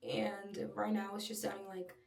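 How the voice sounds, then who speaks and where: A young woman with a slightly breathy voice, chatting openly and personally in a casual home vlog. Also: mid-range voice, flat delivery, normal speed, a little mellow, recorded from a distance. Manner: chatty, filler-heavy, open and personal. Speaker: vlogger. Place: at home, in her room. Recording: roomy echo, a little off-mic.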